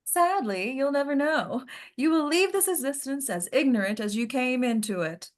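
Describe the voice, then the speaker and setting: A woman playing a sinister ancient madame who leads a cult ritual in a horror script read, with drawn-out, theatrical menace. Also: high voice, lively intonation. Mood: grandly menacing.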